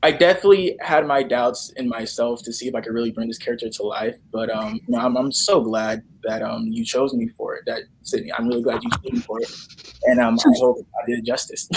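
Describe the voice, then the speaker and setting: A man's voice: medium pitch, slight background noise, poor audio. A young man chatting casually as a guest, often repeating himself and searching for words. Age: young adult. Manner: halting, repetitive, searching for words. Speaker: guest, actor in a student radio play. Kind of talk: casual interview chat.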